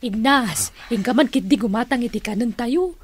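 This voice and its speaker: A woman playing an evasive, worldly middle-aged aunt in a radio drama, with a brisk theatrical delivery. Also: fairly high pitch, lightly acted. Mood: concerned.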